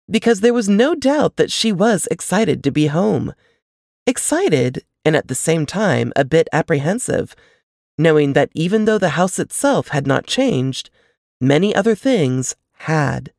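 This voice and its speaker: A woman reads fiction aloud as a storyteller. Her pitch moves expressively, with a creaky edge to the voice, and the recording is dry and close. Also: darkish tone, dry recording. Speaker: author reading from a novel. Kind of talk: scripted fiction narration.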